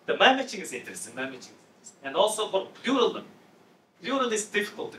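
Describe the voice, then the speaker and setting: A man's voice: high voice, very animated, fairly bright tone. Man heard from afar in an echoey hall. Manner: halting, many restarts while explaining word forms. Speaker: conference discussant. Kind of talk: academic Q&A discussion. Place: lecture hall. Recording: echoey room, distant mic, faint noise.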